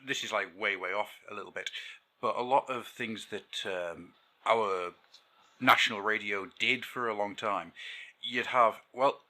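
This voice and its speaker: Bright, enthusiastic low-voiced male host. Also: measured pace. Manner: enthusiastic, rambling, with fillers. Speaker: podcast host. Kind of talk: casual podcast chat.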